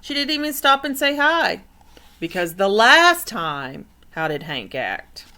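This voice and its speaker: A woman's voice: fairly high pitch, very animated, a little slow, bright, forceful tone. A woman reading a picture book aloud as a teacher, stopping to explain and put questions to the listeners. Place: indoor room.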